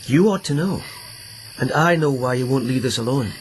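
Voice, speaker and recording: A man's voice: mid-range voice, animated, moderate pace, a little hiss or hum, natural voice, rough recording. An actor playing an earnest young policeman who presses his lover with urgent warnings, in a radio drama. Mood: pressing. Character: Lieutenant Yukinari, earnest, protective policeman lover. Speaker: lead character. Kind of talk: BBC radio drama acting.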